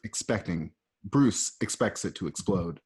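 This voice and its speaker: A man's voice: sits low, expressive, measured pace, darkish tone, slightly rough. A man reading the stage directions of a home-recorded radio play in a plain, matter-of-fact way. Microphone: home microphone.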